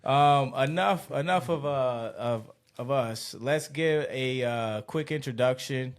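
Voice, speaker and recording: A man's voice: mid-range voice, takes it slowly. A man in his early thirties hosting a podcast, chatting casually, rambling through stories and laughing often. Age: 30s. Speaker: podcast host. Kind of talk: casual chat.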